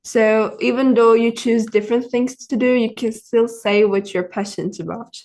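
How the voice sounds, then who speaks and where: A woman's voice: a little high, steady tone, moderate pace, darkish tone. Earnest teenage girl from Turkey. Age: teens. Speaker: student presenter.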